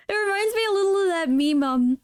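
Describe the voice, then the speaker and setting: A woman's voice: extremely high pitch, no echo, studio quality. A woman hosting a casual interview chat, giggly, often repeating her words. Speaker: podcast host.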